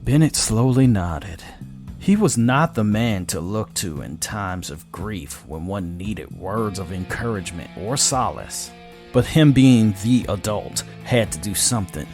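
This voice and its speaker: Male narrator, low register, scripted dramatic fiction read over prominent guitar music. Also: expressive, a little slow.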